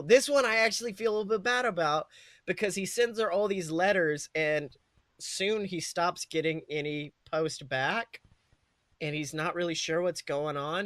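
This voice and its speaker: A man's voice: a little high, fairly bright tone, dry recording. A man co-hosting a podcast, improvising a comedic story in an informal, sweary way with dramatic build-ups. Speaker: podcast co-host.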